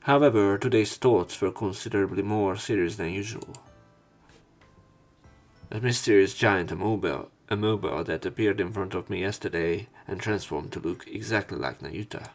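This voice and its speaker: Low-voiced man narrating over music. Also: moderate pace. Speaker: let's player narrating a visual novel. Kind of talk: reading visual novel narration aloud with commentary. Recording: music playing behind the voice. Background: game music.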